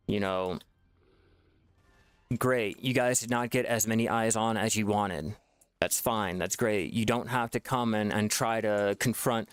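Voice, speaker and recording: A man's voice: lowish voice, smooth, dry recording. A man making gaming videos, ranting in a frustrated way with lots of swearing and restarts. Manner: frustrated, profane, repetitive restarts. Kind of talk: ranting gameplay commentary.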